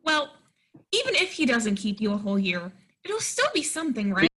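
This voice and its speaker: A woman's voice: fairly high pitch, big pitch swings, moderate pace, fairly bright tone, slightly rough, full character voice. A woman playing a friendly, naive, plain-spoken young model hopeful in a stage play reading, folksy and earnest. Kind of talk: readers theatre play reading.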